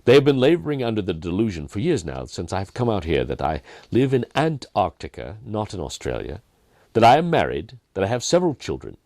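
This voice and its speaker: A kindly, earnest miner in a storybook tale, played by a male reader. His low voice swings widely as he stresses his words. The phrasing is deliberate and the sound is dry. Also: sing-song, darkish tone, dry recording. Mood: wry amusement. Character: kindly, earnest, wry Outback miner of gentle faith.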